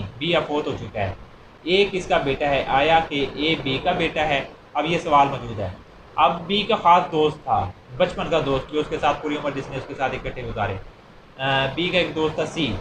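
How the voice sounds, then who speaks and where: A man with a Pakistani accent teaches in an echoey room with clear noise behind him. His voice sits a little high. He explains step by step in a repetitive, didactic lecture style, working through examples. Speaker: law lecturer.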